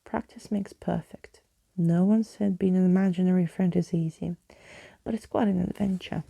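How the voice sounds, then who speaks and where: A woman's voice: on the low side, fairly even intonation, normal speed, creaky, mellow, husky, dry recording. A woman voicing a pompous, theatrical male skeleton with flowery, old-fashioned speech in a storybook reading. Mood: wry.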